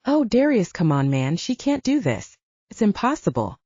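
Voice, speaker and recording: A weary, level-headed male squad mate played by a woman narrator, placating and resigned. The voice is mellow and swings in pitch, in a dull-sounding audiobook recording. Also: sing-song, normal speed, no echo. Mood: pleading.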